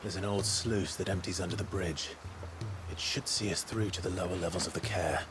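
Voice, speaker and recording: A man's voice: low voice, fairly even intonation, a little fast, over music, natural voice. A man playing a terse, grimly determined young warrior in a video game, curt, guarded and serious.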